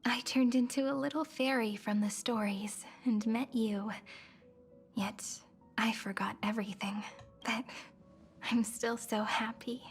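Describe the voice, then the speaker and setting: Gentle wistful young woman, game voice, breathy half-whisper, slow. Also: on the high side, steady tone, faint music behind. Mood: tender. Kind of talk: game voice acting.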